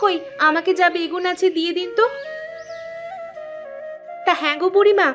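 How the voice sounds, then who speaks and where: A woman's voice: extremely high pitch, steady tone, normal speed, music in the background. A woman voicing a nosy, chatty village neighbor in a cartoon, with a familiar, prying manner. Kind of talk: Bengali cartoon voice acting.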